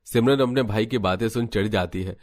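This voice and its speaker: A man's voice: lowish voice, a little fast. A man narrating a scripted cartoon family story.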